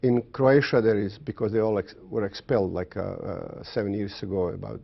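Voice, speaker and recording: Man, lowish raspy voice, creaky, halting non-native phrasing, interview talk, lo-fi audio. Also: fairly even intonation.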